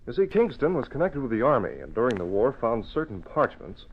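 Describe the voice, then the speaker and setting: An actor playing a brisk, commanding newspaper publisher and crime fighter, crisp and authoritative, in old-time radio style. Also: male voice, mid-range voice, sing-song. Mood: composed explaining. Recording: muffled, noisy old radio recording.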